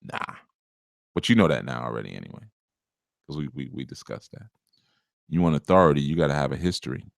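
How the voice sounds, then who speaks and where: Deep-voiced man teaching in a dry room. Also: lively intonation, fairly quick, vocal fry, long pauses, dry recording. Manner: conversational, rambling, with many asides and chuckles. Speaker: spiritual teacher hosting a live show. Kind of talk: casual spiritual teaching.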